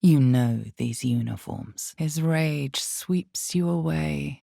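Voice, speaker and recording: Dark fantasy game narrator, very deep, gravelly, slow, dry studio sound. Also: female voice, dry recording, exaggerated voice. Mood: ominous. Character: unseen female storyteller of a dark fantasy game. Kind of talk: scripted second-person game narration.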